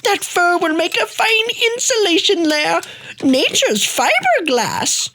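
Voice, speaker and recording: A woman's voice: very high-pitched, sing-song, takes it slowly, bright, forceful tone, heavily acted. A woman voicing a reckless, cheerfully stubborn old grandma inventor in a radio play, breezy and theatrical. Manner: breezy, theatrical, dismissive of worry.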